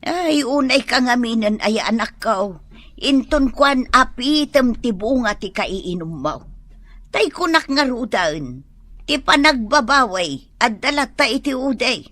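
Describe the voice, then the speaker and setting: A woman's voice: fairly high pitch, expressive, unhurried, fairly bright tone, dry recording. A woman with an Ilocano accent playing a fretful, reproachful older mother who laments and chides in a radio comedy drama.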